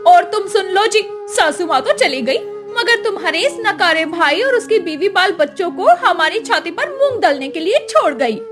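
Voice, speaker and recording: A woman's voice: very high-pitched, lively intonation, normal speed, hardly any pauses, over music. A woman voicing a spiteful, domineering wife in a cartoon story, with a scolding, sneering manner. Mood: scornful. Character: spiteful, domineering elder sister-in-law, mean rich wife.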